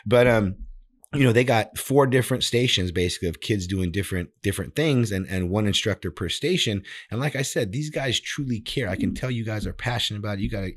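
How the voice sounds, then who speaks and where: Husky, cursing podcast host. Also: male voice, lowish voice, brisk. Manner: profane, emphatic, repeats himself. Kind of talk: casual interview chat.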